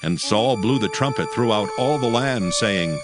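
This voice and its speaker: A man's voice: lowish voice, lively intonation, measured pace. A man narrating scripture in a solemn, scripted, dramatic storytelling style. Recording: music under the narration.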